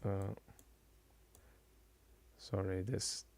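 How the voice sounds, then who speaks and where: Quiet, slow-spoken man with a gravelly voice. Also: sits low, level tone. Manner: hesitant, many fillers and restarts. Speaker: streamer and commentator. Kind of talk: casual live-stream chat.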